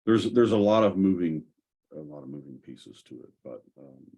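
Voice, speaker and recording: Man, extremely low voice, husky texture, halting, thinking aloud, casual tech Q&A host. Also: lively intonation, warm tone. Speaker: host of a software community call.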